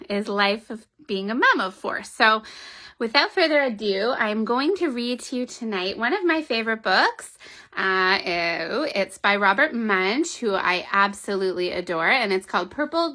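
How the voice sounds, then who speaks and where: A mother of four reading a children's picture book aloud from a child's bedroom at home, in a friendly, expressive way. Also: a little high. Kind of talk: children's story read-aloud.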